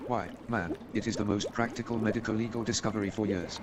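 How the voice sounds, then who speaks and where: A man playing an eager, brisk, self-absorbed scientist in a humorous radio play, emphatic and enthusiastic. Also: lowish voice, steady tone, lightly acted. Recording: loud noise and crowd sound.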